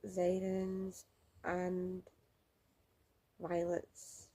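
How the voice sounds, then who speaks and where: Young woman book vlogger, raspy and creaky, excitable and a bit snuffly. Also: lowish voice, very slow. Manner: chatty, excitable, swears casually. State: has a cold, snuffly. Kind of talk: casual reading vlog. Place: home room under a window, lamp lit.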